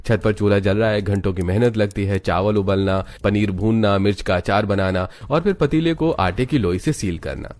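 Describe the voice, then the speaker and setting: Man, lowish voice, steady storytelling narration. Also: normal speed, few pauses, no room sound. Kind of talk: scripted narration.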